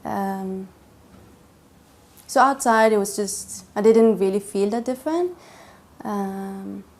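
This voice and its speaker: A woman's voice: medium pitch, slow, a little mellow. Hesitant woman telling her story. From Denmark. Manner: hesitant, many fillers and restarts. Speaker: interviewee. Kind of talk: personal testimony interview.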